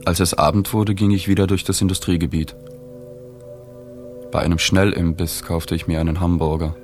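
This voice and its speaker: Actor for male journalist-narrator, radio drama: low-pitched, restrained, matter-of-fact, light music underneath. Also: flat delivery, moderate pace, a little mellow, close to an everyday voice. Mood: sober, reflective. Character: reserved, observant male journalist recounting a sad story. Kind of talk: literary first-person narration, radio drama.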